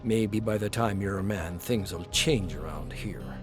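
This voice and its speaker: A man's voice: on the low side, a little slow, natural voice. A man voicing a poor, resigned working-class father who talks in plain folksy dialect, in a game story. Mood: gently encouraging. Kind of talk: dramatized game lore narration. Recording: music under the voice.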